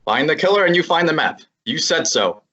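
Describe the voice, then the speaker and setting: A woman playing a breezy, sarcastic young male assistant detective in a student radio drama, with a husky voice. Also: low-pitched, expressive, fairly quick, fairly bright tone. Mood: wisecracking. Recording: dull lo-fi recording.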